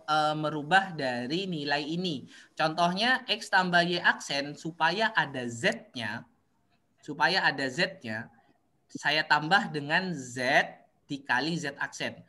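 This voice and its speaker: Bright-voiced man lecturing over a call. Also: high voice. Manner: halting, frequent fillers and restarts, often checks understanding. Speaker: university lecturer. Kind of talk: online class lecture. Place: online meeting. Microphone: video call.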